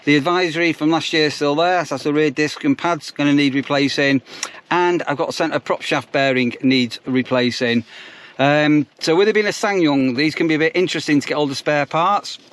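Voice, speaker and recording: A man's voice: on the high side, a little fast, fairly bright tone, a little hiss or hum. A man with an English accent talking casually in a rambling way, as if narrating his day for a vlog. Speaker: vlogger. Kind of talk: casual vlog chat to camera.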